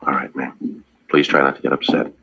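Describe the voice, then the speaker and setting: An actor playing a calm, businesslike police detective in an old radio drama, terse, polite and procedural. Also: male voice, extremely low pitch, fairly quick, vocal fry, husky, heavily acted. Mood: gentle, steady. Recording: dull, lo-fi vintage radio recording.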